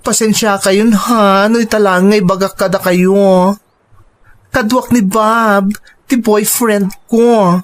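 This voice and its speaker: Actress as persuasive young woman, Ilocano accent, medium pitch, devoted, earnest radio drama delivery. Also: fairly even intonation, takes it slowly, slight background noise, lightly acted. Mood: respectful, pleading.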